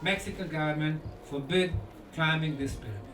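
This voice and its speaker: A man giving a conference lecture in short, deliberate phrases with dramatic pauses and rhetorical questions. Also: fairly high pitch, a bit bright. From Bosnia. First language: Bosnian. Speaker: lecturer and researcher. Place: indoor lecture room at a conference. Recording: echoey room, distant mic, faint noise.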